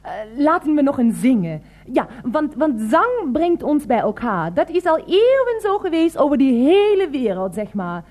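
Chirpy Nancy. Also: female voice, sits high, very animated, normal speed, darkish tone. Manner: chirpy, sing-song, mothering. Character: Nancy, chirpy woman with German slips. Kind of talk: radio play acting.

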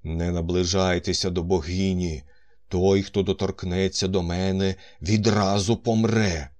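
A man's voice: sits low, flat delivery, unhurried, a little mellow, no echo. A man voicing a young woman who poses as a cruel priestess, speaking in a solemn, commanding, menacing way. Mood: solemn menace.

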